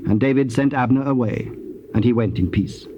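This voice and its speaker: Casting: man as formal scripture storyteller, average-pitched voice, measured reading, slightly noisy tape transfer. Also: dull audio. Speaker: narrator.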